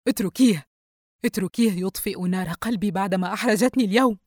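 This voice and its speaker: A woman's voice: mid-range voice, lively intonation, fast, no echo, studio quality, natural voice. A woman voicing a stern, cold, fed-up mother who gives curt commands in a dramatised audio story.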